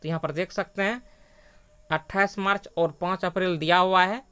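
Guy reading exam news for his channel, really clear smooth voice. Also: high voice, steady tone, moderate pace, bright, forceful tone. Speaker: education channel host. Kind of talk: video news narration read to viewers.

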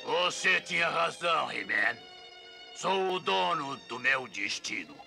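A man voicing a huge, brooding horned demon in a dubbed cartoon, gravelly and ponderous. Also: on the high side, big pitch swings, pushed and bright, faint music behind, full character voice. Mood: solemn. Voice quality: gravelly, croaking. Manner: slow, ponderous. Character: huge horned winged demon, brooding, slow, ultimately noble. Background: dramatic cartoon score.

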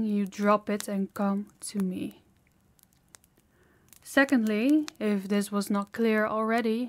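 A woman's voice: medium pitch, no echo, natural voice. A woman playing a harsh, dominant demon in an intimate audio roleplay, commanding and menacing, often dropping toward a whisper. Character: harsh, dominant female demon training a human captive.

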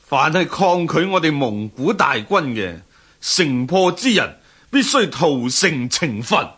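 An actor playing a stern, hearty Mongol general-prince in a historical radio drama, giving orders with weighty authority. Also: male voice, fairly high pitch, sing-song, slow pace, a bit bright, slightly rough, full character voice. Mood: stern, commanding.

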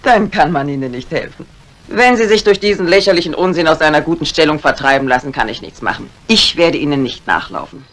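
A woman's voice: low-pitched, lively intonation, brisk. An actress playing a composed, self-assured lady crime novelist in a 1950s radio drama, courteous and dismissive of nonsense.

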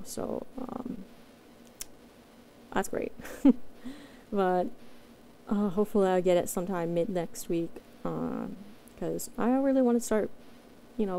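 A woman's voice: on the low side, gravelly, mellow, slight background noise. A woman chatting casually and unscripted to her listeners as a channel creator, hesitant and rambling. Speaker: amateur voice actor and channel creator. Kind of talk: casual unscripted outro chat.

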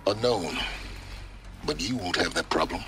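A male actor playing a calm, knowing mentor in a video game, with a measured, cryptic, detached delivery. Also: low-pitched. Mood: cool, cryptic. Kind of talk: scripted video game dialogue. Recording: game music behind the voice.

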